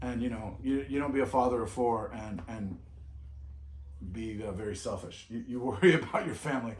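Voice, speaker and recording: A man's voice: medium pitch, normal speed, a little hiss or hum. Middle-aged guy co-hosting a travel vlog, loose rambly talk, lots of restarts, echoey room. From California. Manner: rambling, with many restarts and trailing off. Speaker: vlog co-host. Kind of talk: reflective casual chat.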